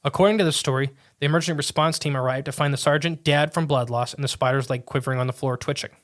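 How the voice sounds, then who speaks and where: A man's voice: medium pitch, steady tone, fast. A man co-hosting a podcast, reading a strange tale aloud with casual asides, often tripping over words and restarting. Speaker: podcast co-host.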